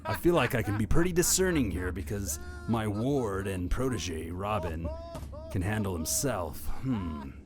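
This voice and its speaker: A man's voice: fairly low pitch, lively intonation, a little slow. A man voicing a dithering male caped crusader in a comedy podcast sketch, deliberating aloud. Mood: musing.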